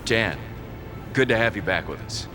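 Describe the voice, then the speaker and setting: Man as a low-voiced laconic colonel. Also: expressive, moderate pace, noisy background, natural voice. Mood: easygoing. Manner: understated, laconic. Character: calm laconic American male squadron colonel.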